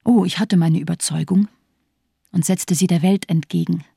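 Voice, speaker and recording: Cold, bitter woman, low and dark. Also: fairly even intonation, normal speed, creaky, breathy edge, dry recording, studio quality. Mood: bitterly sardonic. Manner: cold, lifeless, sardonic delivery. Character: embittered, disillusioned woman, former communist and camp survivor. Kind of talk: radio drama monologue.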